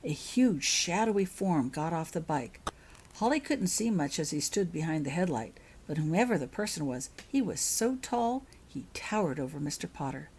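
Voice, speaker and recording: A woman reading her own fiction aloud as an expressive storyteller. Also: low voice, moderate pace, slight background noise. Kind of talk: audiobook-style narration of fan fiction.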